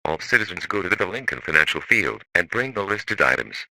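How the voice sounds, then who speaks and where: Very deep, sharp-edged male emergency announcer. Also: sing-song, fairly quick, poor audio. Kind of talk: scripted emergency broadcast announcement.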